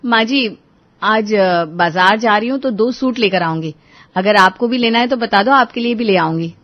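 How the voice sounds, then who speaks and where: A woman voicing a practical, good-natured eldest daughter-in-law in a Hindi animated moral story, explaining in a familiar way. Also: mid-range voice, moderate pace, natural voice. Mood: eager. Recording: dull, lo-fi audio.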